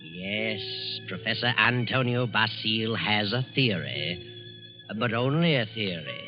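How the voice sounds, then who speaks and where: A man narrating and hosting a 1940s radio suspense program in a measured, ominous, deliberate way. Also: lowish voice, expressive. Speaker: radio show narrator and host. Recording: lo-fi 1940s radio recording with loud music. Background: loud music.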